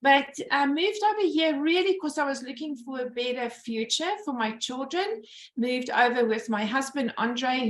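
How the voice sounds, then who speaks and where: A South African woman in her fifties, telling her personal story in a presentation over a video call. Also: fairly high pitch. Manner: earnest storytelling with rhetorical questions. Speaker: business owner giving a presentation. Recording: roomy echo.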